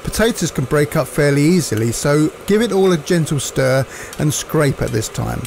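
A man, narrating cooking instructions in a measured, explanatory way. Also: fairly high pitch, lively intonation, gravelly, darkish tone, a little hiss or hum. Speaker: cooking presenter.

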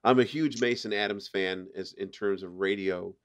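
A man's voice: on the low side, normal speed. A middle-aged man hosting a podcast, giving his review in casual conversation. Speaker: podcast host.

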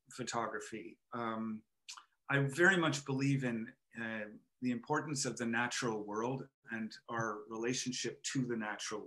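An older man from Montreal, a photographer and artist, talking in a hesitant, reflective way with many pauses and restarts, on a headset over a video call from home. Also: mid-range voice, sharp tone. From Montreal, Canada. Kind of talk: casual interview conversation. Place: home room with framed photo, indoors.

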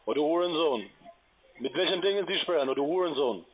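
A man's voice: fairly high pitch, animated, brisk. A man with a foreign accent, a non-native speaker, talking on the phone as a call-centre agent. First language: not German. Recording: tinny phone-like line.

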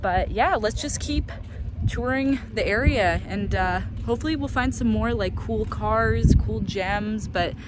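A woman's voice: on the high side, unhurried. Young woman chatting to her phone outside, casual vlog style, noisy background. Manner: informal, chatty. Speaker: vlog host. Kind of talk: casual off-the-cuff exploring commentary. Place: outdoors in open dry lot and street. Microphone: handheld phone at arm's length. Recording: clear outdoor background noise.

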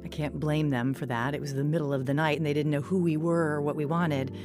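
Casting: troubled, earnest young woman played by an actress, lowish voice, confessional audio-drama narration with music beneath. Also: steady tone, fairly quick, natural voice. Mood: reflective. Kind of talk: first-person dramatized testimony narration. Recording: music bed under studio voice.

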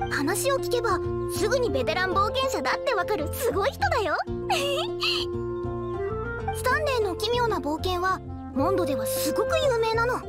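A woman voicing a cheerful, dreamy little flower girl in an anime game, sweet and innocent in manner. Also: very high-pitched. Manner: sweet, childlike, giggly. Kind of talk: Japanese anime game voice acting. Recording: music playing behind the voice.

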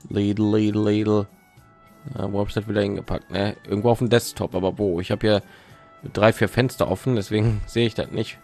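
A man's voice: on the low side, flat delivery, brisk, a little mellow, soft background music. A man giving casual let's play commentary, thinking aloud and rambling, with phlegm in his throat.

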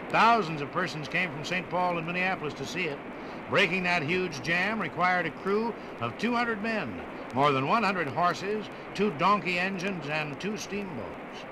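A man's voice: high-pitched, expressive, normal speed, noisy background. An American man narrating a scripted 1950s radio documentary in a dramatic, folksy storytelling manner.

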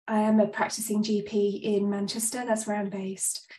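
Woman GP, 30s, congested, earnest webinar speaker; flat delivery, roomy distant sound. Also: mid-range voice, normal speed, slight background noise. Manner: earnest, frequent ums. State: stuffed up, slightly breathless. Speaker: GP giving a presentation. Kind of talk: webinar talk. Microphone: video call.

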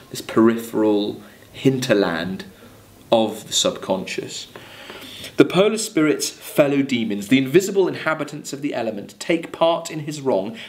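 A man in his thirties giving a college literature lecture, reading poetry aloud and explaining it in a halting, think-aloud manner. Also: medium pitch. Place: small plain room, seated at a desk.

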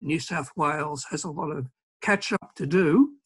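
A man, answering panel questions on a video call in a measured way with many pauses. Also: a little high, fairly bright tone, slightly rough. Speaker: environmental campaigner, panelist. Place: home office, indoors. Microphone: video call webcam.

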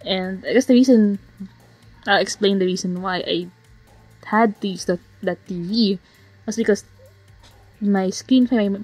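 A slightly hoarse woman casually narrating her digital painting process, rambling with fillers and self-corrections. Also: medium pitch, darkish tone, rough recording. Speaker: digital artist narrating a speedpaint. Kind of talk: casual voiceover commentary. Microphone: home voiceover mic.

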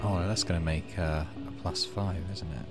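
A man's voice: low-pitched, steady tone, normal speed. A man giving casual let's play commentary, thinking aloud and reading game text as he goes. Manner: thinks aloud, muttering through choices. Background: game music.

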